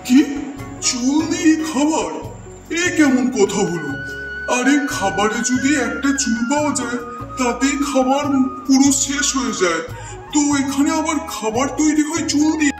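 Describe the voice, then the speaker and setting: Village shopkeeper, male actor, cartoon moral tale: extremely high for a man, baffled and distrustful, roomy echo. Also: animated, normal speed. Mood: baffled, suspicious. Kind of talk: Bengali cartoon moral story dialogue. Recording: echoey voice over music bed.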